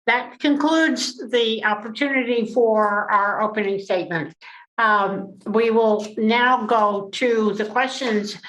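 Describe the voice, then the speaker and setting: A woman moderating a candidates forum, explaining the rules in a halting way, with many fillers and restarts. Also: mid-range voice, fairly bright tone. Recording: echoey room with faint noise.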